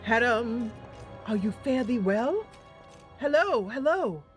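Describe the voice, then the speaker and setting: A woman's voice: on the high side, very animated, slow, faint music behind, voice pushed up. A woman voicing a spirited female bard from a fantasy game, theatrical and sing-song, with archaic phrasing. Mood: theatrical greeting.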